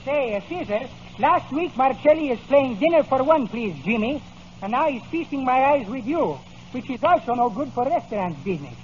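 A muffled, hissy vintage radio recording of a genial Greek immigrant. His voice is very high and bouncy. He speaks thickly accented Greek-English full of mangled words, in radio comedy style. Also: lively intonation, moderate pace. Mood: comic, puzzled. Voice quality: broken English full of malapropisms. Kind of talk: old-time radio comedy acting.

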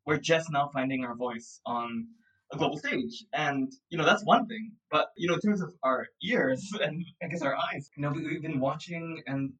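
Guest, twenties, thoughtful and rambling, slightly distant mic. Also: male voice, a little high, normal speed, a bit bright, breathy edge. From Singapore. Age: 20s. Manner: reflective, rambling with many fillers and laughs. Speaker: guest, audio production founder. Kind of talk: casual remote interview chat.

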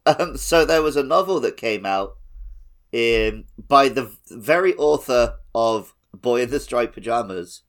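A man's voice: medium pitch, animated, a little slow. A man co-hosting a video game podcast, talking in a casual conversational style. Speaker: podcast co-host.

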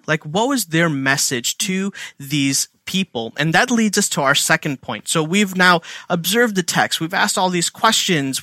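Bright, conversational man teaching scripture. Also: on the high side, no room sound. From India. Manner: conversational, filler-heavy, asks and answers his own questions. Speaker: Bible teacher. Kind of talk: casual teaching talk.

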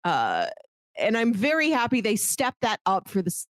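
A woman co-hosting a podcast in a casual film discussion, chatty and opinionated in an animated way. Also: medium pitch, moderate pace, dry recording. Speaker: podcast co-host.

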